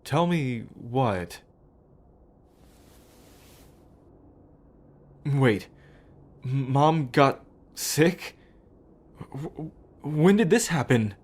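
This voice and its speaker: Slow, heartfelt remorseful brother acted by a man. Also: medium pitch, lively intonation, a little mellow, breathy edge, heavily acted. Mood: stunned, confused. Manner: hesitant, sighing, heartfelt. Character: remorseful, affectionate brother on the run. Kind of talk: ASMR roleplay acting.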